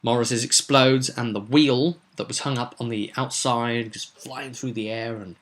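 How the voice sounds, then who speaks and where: A man speaking as a game master, explaining a tabletop campaign informally with halting phrasing and dramatic storytelling emphasis. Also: mid-range voice, steady tone, fairly bright tone.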